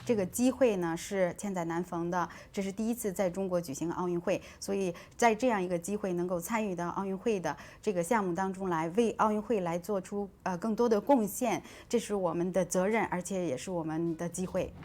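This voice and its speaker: Measured company spokeswoman, lower tone. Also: darkish tone, faint music behind. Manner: measured, with hesitant fillers. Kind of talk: interview answers.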